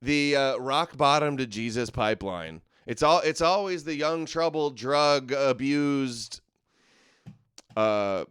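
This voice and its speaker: A man's voice: medium pitch, expressive, slow pace, a bit bright, clear, resonant tone, no room sound, studio quality. A male host giving sarcastic, scoffing commentary over video clips. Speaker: show host.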